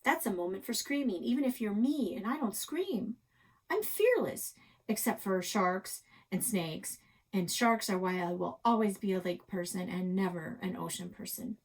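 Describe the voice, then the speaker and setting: A woman narrating a first-person children's novel expressively, with dramatic pauses and hushed asides. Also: medium pitch, lively intonation, slightly rough. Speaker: library story reader.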